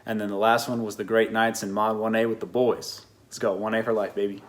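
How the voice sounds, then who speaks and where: A young man from Washington state talks with playful, bro-ish enthusiasm. His voice sits low and stays fairly level in pitch. He sounds like a graduating student. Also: level tone, fairly quick. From Washington state, USA. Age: young adult. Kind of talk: recorded self-introduction.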